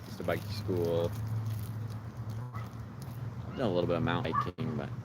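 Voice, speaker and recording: Low-voiced young man over loud traffic. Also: level tone, unhurried. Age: 20s. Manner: easygoing, loose, answers questions with fillers. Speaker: vlogger. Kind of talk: casual chat to viewers. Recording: loud traffic noise outdoors.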